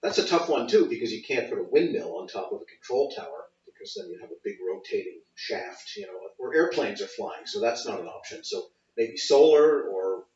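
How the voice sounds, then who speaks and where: A man's voice: fairly low pitch, expressive, brisk, hardly any pauses, darkish tone. A man interviewing in a conversational way, curious and plain-worded. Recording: echoey, distant, dull sound.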